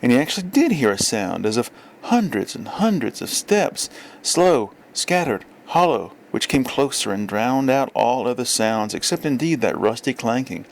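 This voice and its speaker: Measured male storybook narrator. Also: medium pitch, very animated, creaky, rough recording. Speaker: audiobook reader.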